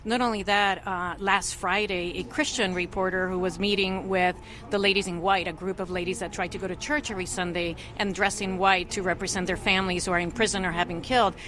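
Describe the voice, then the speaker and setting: A woman's voice: mid-range voice, steady tone, brisk, fairly bright tone, a little hiss or hum. A woman commissioner on religious freedom, speaking earnestly and fluently as a guest in a news interview.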